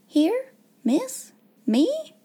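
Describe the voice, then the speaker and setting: A woman's voice: very high voice, wildly swooping pitch, takes it slowly, a little mellow, voice pushed up, full character voice. A woman voicing a timid, frightened young servant girl in a storybook, stammering and deferential with uneducated speech. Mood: bewildered.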